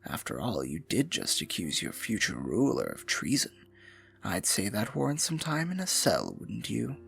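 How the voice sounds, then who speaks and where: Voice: mid-range voice, huge pitch swings, moderate pace, creaky, a bit bright, full character voice. An actor voicing a scheming evil royal advisor with magic, speaking in a silky, mocking, condescending way for an ASMR fantasy roleplay. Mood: silky menace. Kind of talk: ASMR fantasy roleplay, intimate theatrical monologue.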